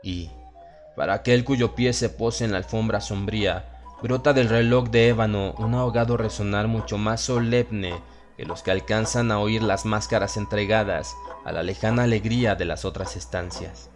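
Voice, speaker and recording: Deep-voiced man narrating horror over music. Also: fairly even intonation. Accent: Latin American Spanish. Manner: solemn, theatrical, with dramatic pauses. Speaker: audiobook narrator. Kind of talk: dramatized horror story narration. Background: music and sound effects.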